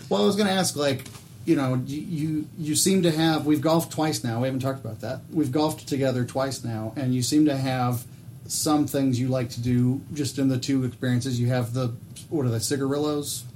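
A man co-hosting a podcast in casual chat, relaxed, asking his co-host questions and laughing easily. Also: mid-range voice, moderate pace, slight background noise.

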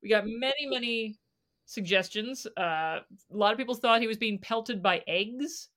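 A woman's voice: on the high side, normal speed, fairly bright tone. A woman hosting a podcast in a casual, chatty style with playful banter. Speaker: podcast host. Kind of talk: casual chat.

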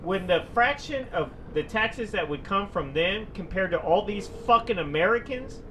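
A man's voice: sits high, animated, normal speed, fairly bright tone, a little hiss or hum. A man in a casual podcast chat who argues back hard and swears often. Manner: argumentative, profane. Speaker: podcast guest.

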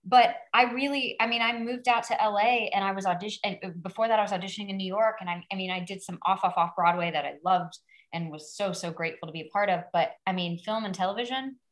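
A woman's voice: medium pitch, fast talker. A woman in her thirties, a guest actor on a podcast, telling stories in casual chat, acting out quotes and laughing at herself. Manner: animated storyteller who acts out quotes and laughs at herself.

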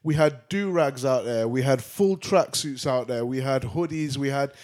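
A man co-hosting a podcast, talking in an animated, slangy way with frequent restarts. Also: mid-range voice, moderate pace. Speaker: podcast co-host. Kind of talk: casual podcast chat.

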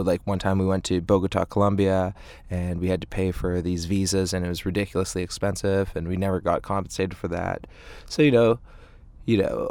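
A man's voice: deep voice, gravelly, darkish tone, clear, resonant tone, dry recording. A man, an indie band frontman, chatting casually as an interview guest in a hesitant, meandering way. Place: inside a parked tour van.

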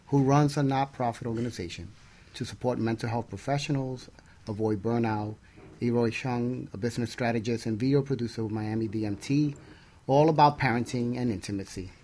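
Man co-hosting talk radio, medium pitch. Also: brisk, a little mellow. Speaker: radio show co-host.

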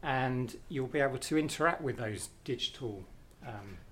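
A man's voice: medium pitch, moderate pace, a bit bright. A man on a podcast, explaining technology in a casual way and repeating himself as he goes. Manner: explanatory, repeats himself, says yeah a lot.

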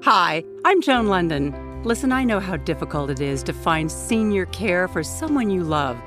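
A woman's voice in a scripted radio advertisement, earnest and reassuring. Music plays clearly behind her. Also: fairly low pitch, sing-song, moderate pace, a bit bright. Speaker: ad spokeswoman.

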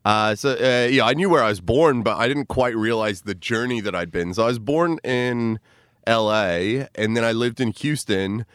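A man's voice: medium pitch, moderate pace, fairly bright tone, smooth, no room sound, studio quality. A man, a comedian chatting as a podcast guest, rambling and self-deprecating with lots of fillers. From New Zealand. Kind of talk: casual interview chat.

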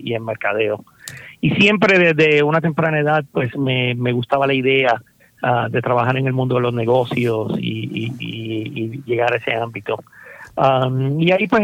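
A man's voice: medium pitch, vocal fry, no room sound, dull audio. A Puerto Rican man, a startup founder, answering interview questions thoughtfully, with many fillers and repeated words. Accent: Puerto Rican Spanish. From Puerto Rico.

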